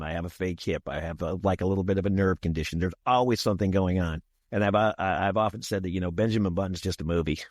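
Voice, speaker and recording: A man's voice: sits low, darkish tone, no echo, clean studio sound. A man in his sixties co-hosting a podcast, talking in a folksy, repetitive way with the odd chuckle. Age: 60s. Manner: folksy, repeats himself, chuckles. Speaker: podcast co-host. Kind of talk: casual podcast discussion.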